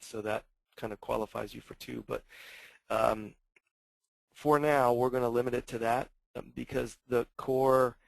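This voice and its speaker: A man's voice: medium pitch, vocal fry, no room sound, poor audio. A man in his fifties hosting a webinar question-and-answer session, speaking hesitantly with many ums and restarts. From born into a German family, raised in the US. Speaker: webinar host running a coaching pilot.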